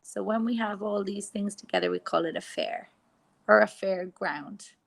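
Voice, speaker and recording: An Irish woman teacher giving a phonics lesson to young children, sounding out letters slowly and clearly. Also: mid-range voice. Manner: slow, exaggerated sounding out of letters.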